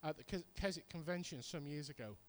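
A man's voice: on the high side, fairly quick. A middle-aged British man giving a sermon, telling stories conversationally and repeating points for emphasis. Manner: conversational storytelling with emphatic repetition. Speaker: preacher. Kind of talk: sermon with personal anecdotes.

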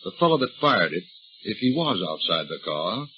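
A man's voice: sits low, very animated, moderate pace, highs cut off, slight background noise. An actor playing a folksy, patient small-town American lawman who hesitates and insinuates, in old-time radio drama style. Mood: musing.

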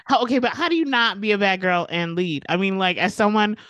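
A woman in her thirties co-hosting a casual podcast, relaxed and slangy, laughing as she talks. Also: mid-range voice, fairly quick, no echo, dull audio. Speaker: podcast co-host. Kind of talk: casual chat.

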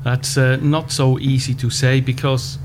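A man of about fifty with a Swiss German accent, answering interview questions in a measured way with frequent pauses. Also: medium pitch, little pitch movement. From Switzerland. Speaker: podcast guest, business owner. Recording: background hum.

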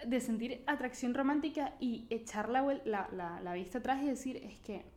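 A woman co-hosting a casual podcast chat, playful and quick to joke. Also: a little high, darkish tone. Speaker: podcast co-host.

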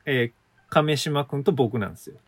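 A man's voice: medium pitch, normal speed, no room sound. A man, a theater company member, talking casually and a little sheepishly on a radio show, explaining things in detail. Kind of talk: casual chat about theater rehearsals.

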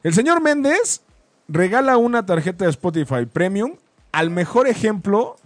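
Teasing Mexican male host, highish voice, dry sound. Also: very animated, moderate pace, no room sound. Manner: teasing, insistent, repeats himself for emphasis. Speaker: co-host of a live talk show. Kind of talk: casual bantering live debate.

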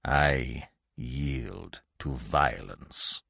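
Guy doing a gruff sullen ferryman for an audiobook, scared and defiant, pitch jumps all over. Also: very animated, moderate pace, no echo, clean studio sound, heavily acted. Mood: sullen refusal. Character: sullen, frightened ferryman, angry and resentful, then pleading.

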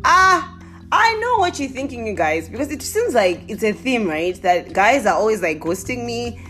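A young woman from Zimbabwe with a Zimbabwean accent, telling comic stories to her viewers in a casual, animated way with exclamations. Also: on the high side, normal speed, soft background music. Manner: animated, exclaiming, laughing often.

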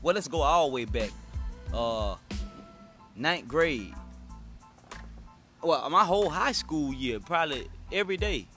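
Stammering man with a high-ish voice. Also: expressive, measured pace, long pauses, sharp tone, soft background music. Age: 30s. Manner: stammers and repeats words, calls his partner uncle. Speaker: podcast co-host. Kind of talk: casual podcast chat.

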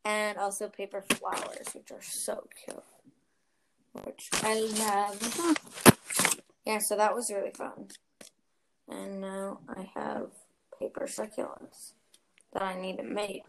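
A woman's voice: mid-range voice, little pitch movement, slow, gravelly, pauses often, heavy background noise. A young woman hosting a casual chat podcast, talking chattily with lots of fillers and giggles. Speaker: podcast host.

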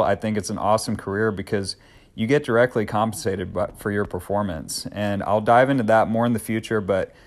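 A man in his thirties hosting a solo podcast, talking in an earnest, upbeat, conversational way with occasional fillers. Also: fairly low pitch. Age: 30s. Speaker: podcast host.

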